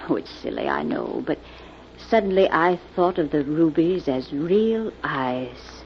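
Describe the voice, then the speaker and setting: An actress playing a wealthy older widowed aunt, genteel and emphatic, in a 1940s radio drama. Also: female voice, low-pitched, very animated, a little slow, full character voice. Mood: uneasy. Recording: muffled old radio recording with hiss.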